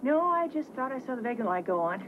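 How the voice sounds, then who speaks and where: A woman's voice: on the high side, big pitch swings, quick pace, muffled recording. An actress playing a nervous woman traveler who chatters and bluffs in a flustered, fibbing manner. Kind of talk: 1980s TV movie romantic comedy. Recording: thin TV audio with faint hum.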